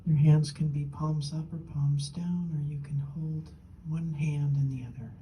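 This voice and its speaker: Slow, mellow woman guiding meditation. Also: low voice, fairly even intonation, a little hiss or hum, poor audio. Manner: gentle, unhurried, with long pauses between short phrases. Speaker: meditation teacher. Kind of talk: guided meditation.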